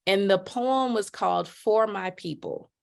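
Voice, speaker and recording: A middle-aged woman telling her story as a podcast guest, lively, acting out quotes with big jumps in pitch. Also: unhurried, creaky. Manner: animated, voices quotes with big pitch leaps. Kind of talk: storytelling in casual chat.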